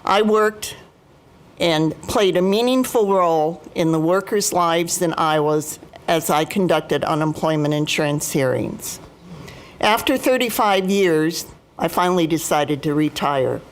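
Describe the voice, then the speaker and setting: Woman, seventies, American accent, formal speech, slow deliberate delivery, slightly low pitch. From United States, Midwest. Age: 70s. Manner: formal, deliberate, emphatic repetition. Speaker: union retiree chapter president, guest speaker. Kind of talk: prepared personal testimony speech.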